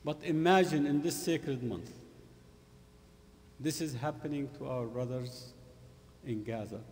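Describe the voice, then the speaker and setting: A middle-aged man giving an earnest Friday sermon in a mosque, speaking in short, emphatic, halting phrases with repetition. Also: mid-range voice, darkish tone. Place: mosque prayer hall, indoors. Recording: long hall reverb from room speakers.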